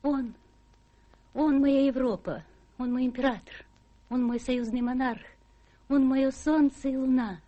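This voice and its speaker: High-voiced, adoring young sweetheart. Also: female voice, fairly even intonation, measured pace, pauses often, mellow, highs cut off. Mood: anxious, adoring. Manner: artless, adoring. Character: devoted, naive young girl deeply in love. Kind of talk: theatrical radio drama acting.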